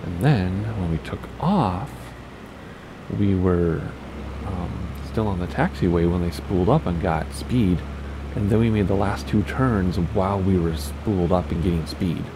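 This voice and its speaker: A man with a raspy voice, casually talking through a flight simulator session and thinking aloud as he plays. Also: deep voice, a little slow, noisy background. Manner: chatty, thinking aloud while playing. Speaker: flight sim streamer. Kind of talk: casual gameplay commentary.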